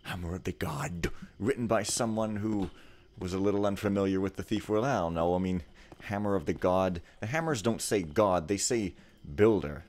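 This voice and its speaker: A man hosting a let's play, chatting casually over gameplay in a rambling, joking, laid-back way. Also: sits low.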